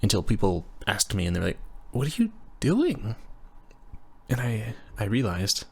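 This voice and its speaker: A man's voice: fairly low pitch, wildly swooping pitch, measured pace, vocal fry, breathy edge, heavily acted. A man voicing a nerdy, flustered engineering student roommate in a casual roleplay audio, stammering and rambling in a self-deprecating way. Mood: animated, playful. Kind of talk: intimate roleplay audio, casual scripted chat.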